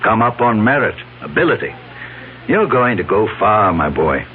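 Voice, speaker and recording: Commanding, fatherly older company boss, lively delivery. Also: fairly low pitch, normal speed, slight background noise. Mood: proud, persuasive. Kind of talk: 1970s radio drama acting. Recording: tinny old radio recording, crowd behind.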